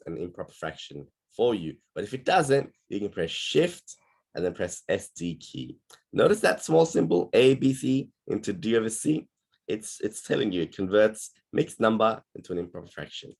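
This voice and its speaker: A man teaching maths on an online class call, his voice going hoarse, explaining patiently step by step. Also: low voice, darkish tone.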